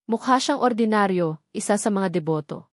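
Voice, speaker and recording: A woman's voice: mid-range voice, animated, moderate pace, no echo, studio quality. A woman narrating a scripted dramatic story.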